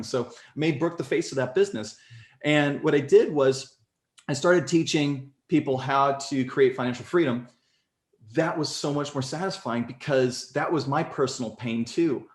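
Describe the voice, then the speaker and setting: A man in his forties telling his story as a podcast guest in casual conversation, animated and leaping up in pitch when excited. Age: 40s. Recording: echoey room.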